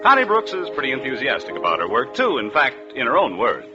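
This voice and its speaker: Animated old-time male radio announcer. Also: medium pitch, fast talker, music in the background. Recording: dull vintage radio recording.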